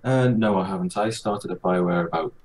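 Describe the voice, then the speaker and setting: Man with a very low, deep voice. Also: brisk, darkish tone. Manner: thoughtful, hesitant, many fillers. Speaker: guest game artist. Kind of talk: casual chat.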